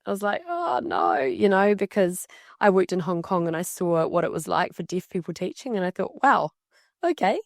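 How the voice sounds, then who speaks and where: A woman interpreting a signer's casual story aloud, in halting, conversational phrases. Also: mid-range voice, sing-song, gravelly, no room sound, studio quality. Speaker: sign language interpreter voicing a signer.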